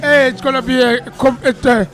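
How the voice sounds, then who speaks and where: A South African man with a gravelly voice, an actor chatting as an interview guest, laughing and repeating words as he thinks. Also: very high-pitched, level tone, a little fast, music in the background. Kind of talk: casual interview chat.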